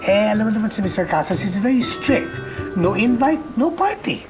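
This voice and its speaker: A man's voice: high voice, sing-song, a little fast, over music. An actor playing an eager, persuasive dealmaker with a Filipino accent, switching between English and Tagalog. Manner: pushy, upbeat, mixes English and Tagalog. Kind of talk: sitcom acting.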